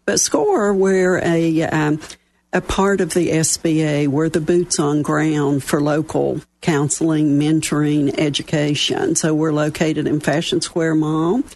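Gravelly-voiced older Southern woman. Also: low voice, steady tone, a little slow, darkish tone. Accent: Southern American. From West Virginia. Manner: folksy, self-deprecating humor. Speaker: radio show guest, chapter chair. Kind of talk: radio interview. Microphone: studio microphone.

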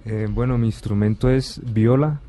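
A man, explaining things in a measured, slightly hesitant way as an interview guest. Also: mid-range voice, steady tone, warm tone, faint music behind. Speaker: orchestral viola player, interview guest.

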